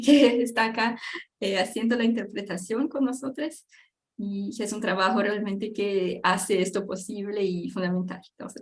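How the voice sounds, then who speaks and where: A woman's voice: fairly high pitch, darkish tone. A woman hosting an online panel, introducing the speakers with many hesitant fillers. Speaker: event host.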